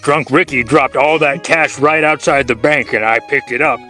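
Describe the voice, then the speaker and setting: A man's voice: medium pitch, moderate pace, pushed and bright, soft background music, pitched below the performer's own voice, close to an everyday voice. A man playing a greedy, sneaky old banker villain in a comic radio play, drawling and gloating.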